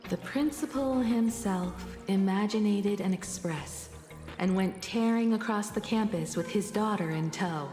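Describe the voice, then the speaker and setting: A woman playing a composed, tender grown daughter in a video game, measured and reflective in her delivery. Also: mid-range voice, dark tone, close to an everyday voice. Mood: warm, reflective. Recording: roomy echo, music behind. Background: music.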